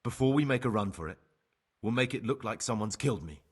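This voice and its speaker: An actor playing a remorseful ex-bandit turned novice monk in a game cutscene, husky, measured and persuasive. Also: male voice, mid-range voice, expressive. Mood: persuasive, pragmatic. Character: remorseful young ex-bandit novice monk, sly pragmatic bargainer. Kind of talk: dramatic game cutscene acting.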